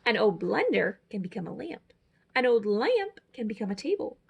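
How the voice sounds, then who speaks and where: A woman's voice: on the high side, sing-song, normal speed, creaky, a little hiss or hum. A woman reading a children's picture book aloud as a storyteller, with dramatic, emphatic phrasing. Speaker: storyteller, teacher.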